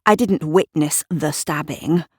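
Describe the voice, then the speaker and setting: A woman's voice: low-pitched, a little slow, breathy edge, no echo, studio quality. A woman voicing a self-important, nosy female neighbour in an audio drama, prim and fussy, correcting herself as she goes. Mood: officious.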